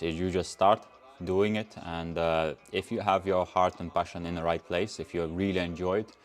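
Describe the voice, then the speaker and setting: A young Latvian man with a very low voice answers viewer questions in loose, rambling English full of restarts. Faint music plays behind him. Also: darkish tone. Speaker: car channel host. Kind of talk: casual livestream Q&A reading chat.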